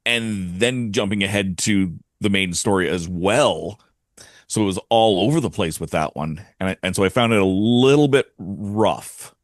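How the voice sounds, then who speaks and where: A man gives his take in a casual podcast discussion. His voice sits a bit low, and the sound is close and dry. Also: normal speed, dry recording. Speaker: podcast panelist.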